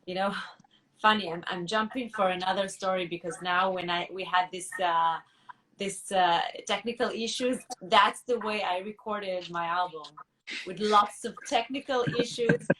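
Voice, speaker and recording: A woman musician answering interview questions thoughtfully, pausing and restarting often as she searches for words. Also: mid-range voice, sharp tone, recorded from a distance, slight background noise, low-quality recording. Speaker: musician guest.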